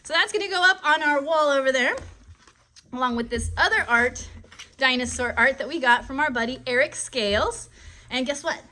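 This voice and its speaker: A woman's voice: high-pitched, normal speed, pushed and bright. A woman hosting a casual live puppet show for children in a friendly, encouraging, upbeat way.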